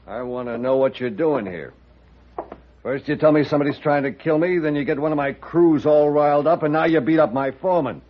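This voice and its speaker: Man playing edgy husky western boss, highish voice, blustery, old muffled radio show. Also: fairly quick, lightly acted. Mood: irritated. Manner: curt, edgy, demanding. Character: blustering, cowardly male railroad boss. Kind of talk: 1950s radio western acting. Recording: dull old radio recording.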